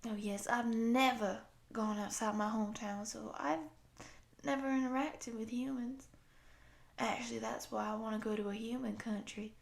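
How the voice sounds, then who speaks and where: A woman voicing a tall, gentle, friendly cowgirl monster in her thirties, soft, affectionate and apologetic, in an intimate audio roleplay. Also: on the high side, little pitch movement, normal speed, vocal fry. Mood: gentle, eager. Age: 30s. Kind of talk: intimate roleplay addressed to the listener.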